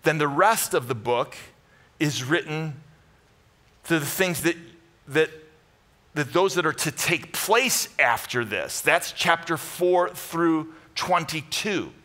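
Bright, forceful American preacher, middle-aged man. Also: a little high, lively intonation, slow pace. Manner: conversational, punchy short phrases with repetition and emphasis. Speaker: pastor. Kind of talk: sermon to a live congregation with Bible teaching. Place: church stage, indoors.

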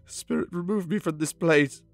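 A man's voice: fairly high pitch, moderate pace, over music, lightly acted. An actor playing a proud, tormented Victorian man with an anguished, dramatic delivery. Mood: anguished, pleading.

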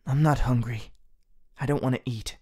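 A man's voice: medium pitch, steady tone, moderate pace, a little mellow, breathy edge, natural voice. A man voicing a spiteful, sullen teenage son in a comic fan dub, curt and sarcastic. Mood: sullen, scornful.

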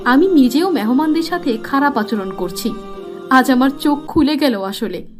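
Fussy wife acted by a woman, high-pitched, swooping intonation, dramatic, cartoon, faint music. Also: lively intonation, moderate pace. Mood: remorseful. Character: fussy well-off wife, self-reflective. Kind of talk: cartoon voice acting.

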